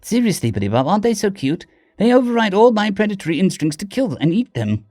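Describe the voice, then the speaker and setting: A man's voice: high voice, very animated, quick pace, a little mellow, no echo, studio quality, pitched above the performer's own voice. A man voicing a playful female alien predator, the gushing girlfriend in a story.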